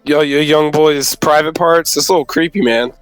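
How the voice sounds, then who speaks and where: Male tabletop roleplayer, slightly high pitch, informal chatty delivery, faint music in the background. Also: steady tone, normal speed, clean tone, low-quality recording. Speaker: player in a tabletop roleplaying game. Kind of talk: casual in-game chat and roleplay.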